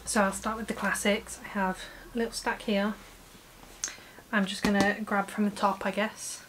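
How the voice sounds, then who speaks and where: A faint bed of hiss sits under a British woman's voice. She is in her late twenties and sits at a medium pitch. She talks informally to camera in a home room, like someone running a book channel. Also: little pitch movement, moderate pace. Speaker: book vlogger. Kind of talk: casual chat to camera. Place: small home room with bookshelves. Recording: clear background hiss.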